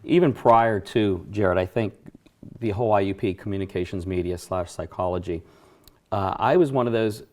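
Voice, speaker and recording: A man's voice: sits low, normal speed, darkish tone. A middle-aged man from western Pennsylvania, a racing announcer guest, reminiscing in casual studio chat with fillers and self-corrections. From Johnstown, Pennsylvania area.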